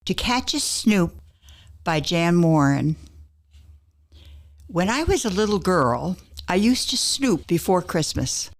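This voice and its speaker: A woman's voice: lowish voice, big pitch swings, takes it slowly, pauses often. An older woman reading her own personal essay aloud as a scripted story.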